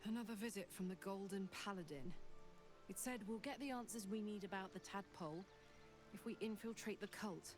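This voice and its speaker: A plain-spoken warrior woman played by an actress in a video game. Her voice sits at a medium pitch, blunt and determined, and music is clearly audible behind it. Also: fairly quick, lightly acted. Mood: matter-of-fact. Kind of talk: video game dialogue acting.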